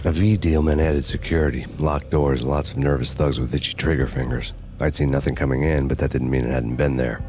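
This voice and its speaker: An actor playing a weary, hard-boiled fugitive cop, narrating a noir video game in a terse deadpan. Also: male voice, extremely low pitch, fairly quick, few pauses, soft background music. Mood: grim, deadpan.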